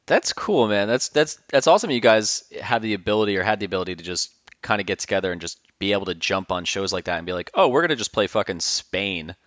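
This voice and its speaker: A man's voice: lowish voice, animated, fast talker, few pauses, a bit bright, no room sound. A man hosting a podcast, chatting casually and enthusiastically, swearing freely. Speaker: podcast host. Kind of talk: casual interview chat. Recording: dull dry lo-fi sound.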